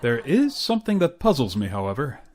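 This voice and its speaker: A man's voice: mid-range voice, very animated, a little fast, darkish tone, a little hiss or hum, natural voice. A man playing a sarcastic, pompous boss in an old-time radio comedy. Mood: sardonic, scolding. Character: sardonic, blustery boss who calls employee "boy".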